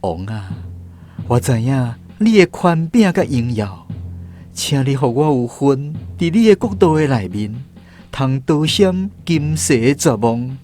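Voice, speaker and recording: An actor with a Taiwanese accent playing a wretched, submissive wanderer and father in a radio drama, pleading in drawn-out phrases. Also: medium pitch, very animated, warm tone, soft background music. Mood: humble pleading.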